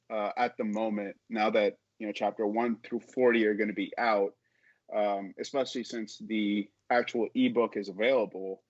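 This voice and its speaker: A man's voice: on the low side, dry recording, highs cut off. A man talking casually and informally in an interview, rambling a little as a podcast guest. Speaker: podcast guest, audio drama creator.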